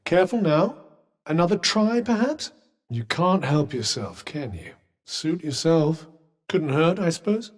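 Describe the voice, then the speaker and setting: A sardonic male companion from a video game, dry and teasing, with a mock-polite edge. His voice sits high for a man and swings widely in pitch. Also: animated, measured pace. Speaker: voice actor. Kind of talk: video game character voice lines.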